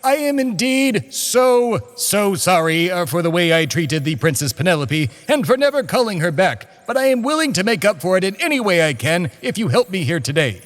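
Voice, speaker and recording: Man playing pompous, high-pitched theatrical showman. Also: very animated, moderate pace, hardly any pauses. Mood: nervous, placating. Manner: theatrical, formal, grandiose. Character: pompous, scheming male embodiment of America. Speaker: host of a comedy podcast. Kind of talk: comedy audio drama.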